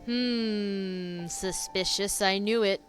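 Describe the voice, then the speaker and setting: Slow-paced, informal woman streamer. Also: medium pitch, fairly even intonation. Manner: informal, sweary, brief interjections. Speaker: streamer reacting to a game. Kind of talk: casual reaction commentary. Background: game music.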